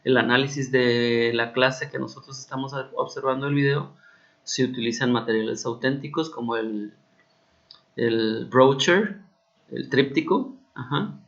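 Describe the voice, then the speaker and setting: Gravelly Mexican teacher explaining an assignment. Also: male voice, medium pitch, measured pace, a bit bright, lo-fi sound. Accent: Mexican Spanish. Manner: patient, repeats key points with frequent check-ins. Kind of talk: recorded class explanation.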